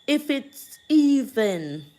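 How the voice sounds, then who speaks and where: A Jamaican woman hosting a casual livestream, chatting to viewers and reading out their comments in a slow, preachy way. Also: high-pitched, very animated, a little mellow.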